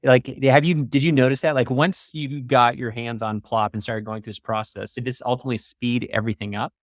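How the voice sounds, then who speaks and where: A man's voice: mid-range voice, steady tone, brisk, no room sound, studio quality. A man acting as interviewer in a casual conversation, speaking in an enthusiastic way.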